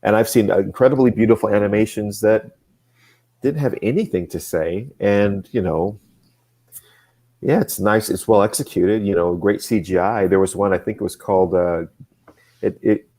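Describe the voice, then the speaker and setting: A man speaking as a guest on a casual live show, thinking aloud with many restarts and bursts of faster talk. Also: lowish voice. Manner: thoughtful and rambling, many restarts, speeds up when excited. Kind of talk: casual livestream chat.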